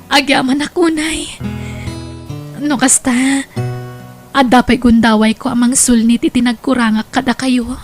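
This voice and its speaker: Woman acting remorseful high-voiced Filipina mother, Ilocano accent. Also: fairly even intonation, a little slow, long pauses, soft background music. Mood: humble, remorseful. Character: remorseful mother returning to her family. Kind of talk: Ilocano radio-style drama acting.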